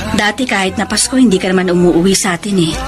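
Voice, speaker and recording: A woman's voice: mid-range voice, fast, natural voice. A woman playing a weary, neglected wife and mother in a Filipino radio melodrama, emotional and plaintive. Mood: wounded, doubtful. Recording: music under the voice.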